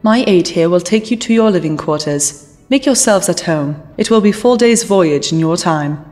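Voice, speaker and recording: A woman's voice: fairly low pitch, animated, a little mellow, natural voice. A woman playing a scheming alien empress. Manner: formal, measured.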